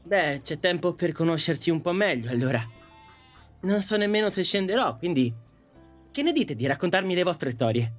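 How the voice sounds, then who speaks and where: A man's voice: a little high, very animated, moderate pace, faint music behind. A man acting a polite, hesitant and curious young newcomer in an audio drama.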